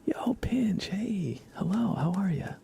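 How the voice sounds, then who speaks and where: A man hosts an ASMR livestream, chatting casually and gently in a hushed whisper, close to the microphone. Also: sits high, lively intonation, takes it slowly, creaky, a little hiss or hum. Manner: casual, friendly, thanking viewers. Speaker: livestream host. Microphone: close microphone with compression and limiter.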